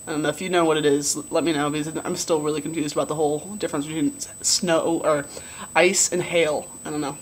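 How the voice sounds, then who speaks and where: A young man with a relatively low voice giving a casual video diary update, rambling with many ums and likes. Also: little pitch movement, fast talker, a little hiss or hum. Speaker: vlogger.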